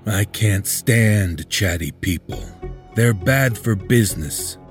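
Male horror narrator, unhurried, clipped, cold, faint music behind. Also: on the low side. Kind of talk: first-person horror story narration.

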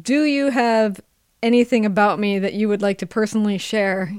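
A woman's voice: medium pitch, fairly even intonation, moderate pace, a little mellow, smooth, no echo, studio quality. A woman hosting a casual, chatty interview. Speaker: podcast host.

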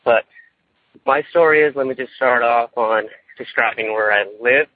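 A young man, calling in by phone and telling a personal story in a casual, rambling, nervous way, often halting and repeating himself. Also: mid-range voice, no room sound. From California, United States. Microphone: phone line. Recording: tinny, boxy phone call.

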